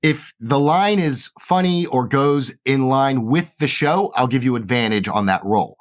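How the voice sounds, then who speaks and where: A man acting as game master, explaining game rules quickly and plainly. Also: mid-range voice, expressive.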